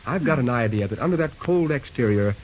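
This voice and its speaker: A man's voice: mid-range voice, expressive, a little fast, slight background noise, lightly acted. An actor playing a brash, determined young American railroad man in a breezy, wisecracking old radio drama style. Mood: teasing, cocky. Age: young adult. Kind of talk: 1940s radio acting. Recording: muffled vintage radio recording.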